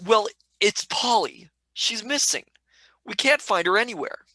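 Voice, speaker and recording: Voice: mid-range voice, lively intonation, a little slow, sharp tone, no room sound. An actor playing a cheeky, loyal young sidekick in a radio play, blunt and colloquial in manner. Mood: worried.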